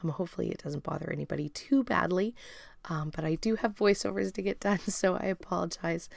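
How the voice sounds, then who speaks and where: A woman with a raspy, strained voice narrating her scrapbooking process in a relaxed, chatty voiceover full of ums and uhs. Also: on the low side, brisk, dry recording. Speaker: scrapbooking video host.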